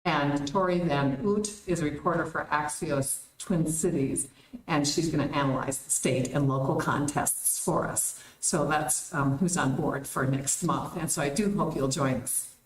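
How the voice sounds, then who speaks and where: A woman's voice: low voice, a little slow. A woman organizer making announcements and introducing a guest speaker, informal with frequent ums. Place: community meeting hall. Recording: roomy hall.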